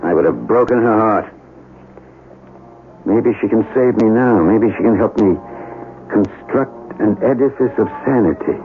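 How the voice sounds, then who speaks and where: An actor playing a troubled, courteous chemist in a 1970s radio drama, with a courtly, earnest, theatrical delivery. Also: male voice, lowish voice, a little slow, long pauses. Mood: brooding, anguished. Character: troubled, courteous male chemist haunted by his past. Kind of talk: 1970s radio drama acting with brooding monologue. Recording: dull vintage radio recording, music behind.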